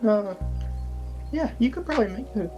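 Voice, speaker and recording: A woman's voice: fairly high pitch, animated, moderate pace, dull audio, music in the background. A woman chatting and improvising casually as a player in a tabletop game. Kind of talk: casual chat and improvised roleplay.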